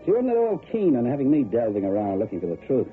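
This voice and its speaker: An actor playing a tough, sardonic private detective in an old-time radio drama, wry, methodical and slangy. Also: male voice, mid-range voice, wildly swooping pitch, fast talker. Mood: explanatory. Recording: tinny old radio recording, music behind.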